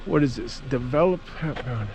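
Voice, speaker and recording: A man's voice: medium pitch, expressive, normal speed, darkish tone, a little hiss or hum. A man giving a casual, plain-spoken walk-around vlog outdoors, rambling along in a folksy way. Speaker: amateur radio vlogger. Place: outdoors at a campground.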